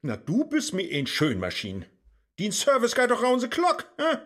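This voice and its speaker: An actor playing an impatient, excitable Faust in a comic rhymed radio play, brash and theatrical, with a Low German accent. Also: normal speed. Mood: impatient, bossy. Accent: Low German, northern Germany. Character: impatient, bossy Faust, excitable seeker of thrills. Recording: loud music behind the voice.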